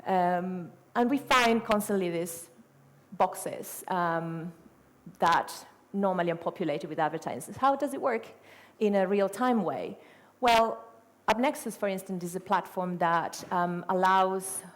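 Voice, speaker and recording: A woman's voice: fairly low pitch, measured pace. A middle-aged woman from Argentina with an Argentine Spanish accent, a native Spanish speaker, giving a conference presentation full of fillers, self-corrections and rhetorical questions. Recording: reverberant hall.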